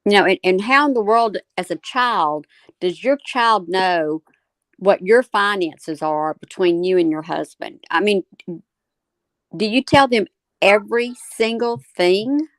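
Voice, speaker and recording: A woman's voice: mid-range voice, takes it slowly, no echo. A woman co-hosting a live discussion, giving opinionated commentary in an emphatic, questioning way. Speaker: co-host moderating a live discussion.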